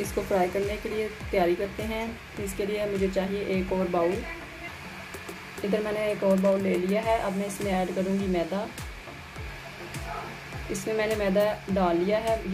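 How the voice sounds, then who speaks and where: A woman's voice: mid-range voice, rough recording. A woman gives a plain, instructional step-by-step recipe voice-over as a home cooking host. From Pakistan. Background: music behind the voice.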